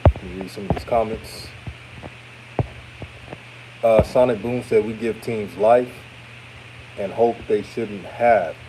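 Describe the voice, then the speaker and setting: A man in his thirties, from Houston, with a deep voice that sounds older, giving casual hot takes and reading chat comments on a live stream. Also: takes it slowly, long pauses, noisy background. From Houston, Texas. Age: 30s. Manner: relaxed, chatty, repeats himself, trails off. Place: his room after a game.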